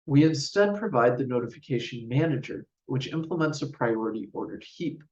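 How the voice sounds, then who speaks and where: A man giving a scripted technical conference presentation in a measured, formal, explanatory way. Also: mid-range voice, animated, a little mellow, slight background noise. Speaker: researcher presenting a paper. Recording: roomy, distant mic, dull sound.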